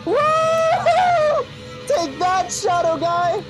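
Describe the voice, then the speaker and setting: A woman as an excitable, high-voiced young rookie. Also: very slow, over loud music, full character voice, low-quality recording. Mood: triumphant. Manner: earnest, indignant, exclaiming. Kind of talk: animated dramatic reading.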